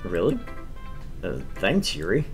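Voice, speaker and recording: Visual novel lead, read by a man: polite, unsure young guy, very deep register with lively inflection. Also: measured pace, soft background music, heavily acted. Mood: awkward, grateful. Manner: hesitant, agreeable. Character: polite, awkward young male student, novice poet.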